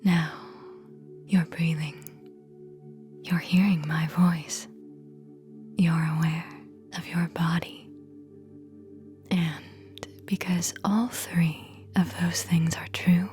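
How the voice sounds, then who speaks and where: A woman guiding a whispered ASMR sleep meditation in a soothing, hypnotic manner, speaking in short phrases with long pauses. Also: on the low side, fairly even intonation, darkish tone, soft background music.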